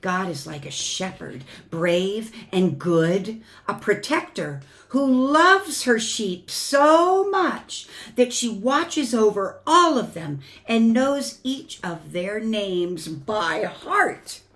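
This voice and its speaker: A woman reading a children's picture book aloud in an expressive, deliberate way, as a church storyteller. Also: mid-range voice. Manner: expressive, deliberate, shifting between emphatic and hushed. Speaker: church family ministry storyteller.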